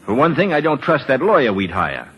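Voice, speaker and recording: An actor playing a curt, distrustful ex-soldier in an old-time radio drama, with clipped, sardonic, slangy delivery. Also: male voice, mid-range voice, wildly swooping pitch, brisk, husky, highs cut off. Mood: cool, dismissive. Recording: vintage radio broadcast recording.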